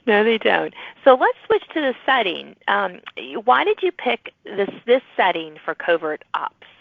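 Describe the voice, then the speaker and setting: A woman's voice: medium pitch, very animated, unhurried, vocal fry, no room sound. Female interviewer for a podcast, dry sound with little room, thin telephone-like audio. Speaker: podcast host interviewing authors. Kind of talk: conversational interview. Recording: thin phone-like line.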